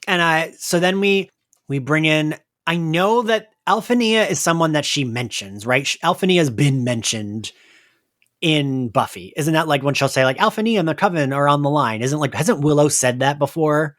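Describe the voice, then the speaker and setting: A man's voice: a little high, normal speed, no room sound, studio quality. A man hosting a podcast, chatting casually and animatedly as he recaps a story. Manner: animated, chatty, quotes lines in playful voices. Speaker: podcast host.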